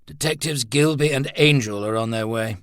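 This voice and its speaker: A man's voice: medium pitch, moderate pace, a bit bright, clean tone, no room sound, clean studio sound, pitched above the performer's own voice, natural voice. A man voicing a stern, pragmatic man who talks in a firm, clipped way. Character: stern pragmatic older brother.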